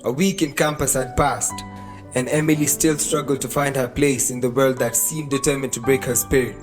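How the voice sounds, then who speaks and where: A Kenyan man narrates a scripted radio drama. His voice is medium in pitch, with music playing faintly underneath. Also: fairly even intonation, normal speed. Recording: music behind the voice. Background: background music.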